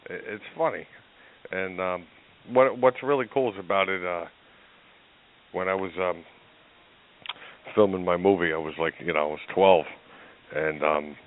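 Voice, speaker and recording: A man from Newark with a New Jersey accent and a deep voice, chatting as a podcast guest, telling stories with lots of restarts and laughter. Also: moderate pace, long pauses. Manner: animated storyteller, many restarts and laughs. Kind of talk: casual chat. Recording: tinny phone-line sound.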